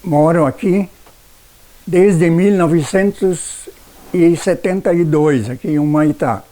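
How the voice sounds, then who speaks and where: An elderly man from southern Brazil, with the accent of that region, shares memories as a retired schoolteacher. His voice is soft-edged and somewhat high for a man. He speaks slowly and haltingly. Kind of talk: personal recollection for a documentary.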